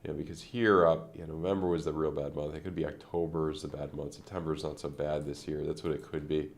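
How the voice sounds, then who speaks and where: Casual male streamer, gravelly fry, congested nasal tone, loose unscripted chart talk. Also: a bass voice, level tone, quick pace. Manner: rambling, thinking aloud with many fillers. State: congested from a sinus infection. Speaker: crypto trading streamer.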